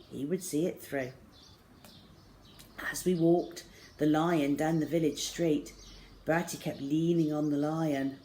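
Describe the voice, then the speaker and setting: Low-voiced middle-aged woman reading a story. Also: mellow, a little hiss or hum. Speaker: storyteller reading a children's book aloud. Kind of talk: expressive scripted story reading. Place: small plain room indoors.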